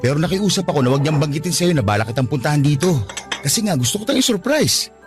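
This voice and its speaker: A man's voice: on the high side, very animated, fast, music in the background. An actor playing a devoted young man in a Tagalog radio drama, who earnestly sweet-talks his girlfriend.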